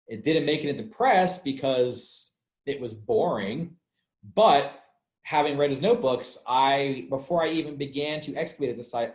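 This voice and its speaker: A man speaking as an archaeologist, giving an informal explanatory talk in a conversational way, repeating points for emphasis. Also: medium pitch. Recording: roomy, echoey sound.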